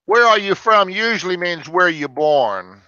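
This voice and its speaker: Older man, from Alabama, conversation teacher, bright and crisp voice, patient explaining, dry muffled recording. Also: high voice, expressive, no echo. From Alabama, United States. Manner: patient, explains slowly with examples. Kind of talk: explaining to learners in a discussion group.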